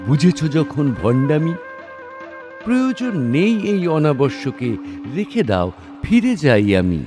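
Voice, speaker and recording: A man's voice: a little high, big pitch swings, measured pace. A man voicing a lofty, persuasive king of the gods in a grand, declamatory recitation of an epic verse drama. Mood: taken aback, reluctant. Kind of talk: dramatic Bengali poetry recitation with dialogue. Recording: studio voice over background music. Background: background music.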